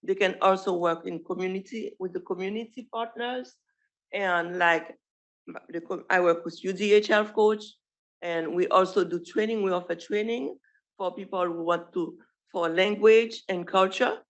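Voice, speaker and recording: Creaky-voiced physician with a Haitian accent. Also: medium pitch, fairly even intonation, fairly bright tone, rough recording. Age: middle-aged. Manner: halting, with frequent restarts and self-corrections. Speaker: physician presenting a residency program. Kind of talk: informal presentation at an online showcase.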